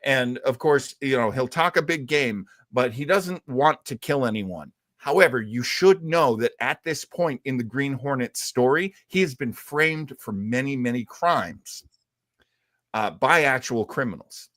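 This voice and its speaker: A man's voice: medium pitch, animated, measured pace, a bit bright, dry recording, studio quality. A man co-hosting a retro radio show, chatting casually and enthusiastically in a rambling fan style. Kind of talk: casual live-stream chat about old radio serials.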